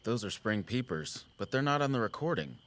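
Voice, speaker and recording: An actor playing a concerned, patient outdoorsman in a naturalistic audio drama, measured and gently frank. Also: fairly low pitch, big pitch swings. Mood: intent, explanatory. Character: Jordan, concerned, patient outdoorsman who watches the woods.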